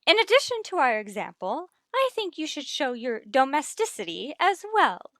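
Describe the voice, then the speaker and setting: Bossy matchmaker wife, middle aged, gushy and high, old radio comedy, really animated. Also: female voice, moderate pace, no echo, studio quality, heavily acted. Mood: eager, instructing. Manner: gushing, theatrical. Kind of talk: 1940s-50s radio sitcom acting.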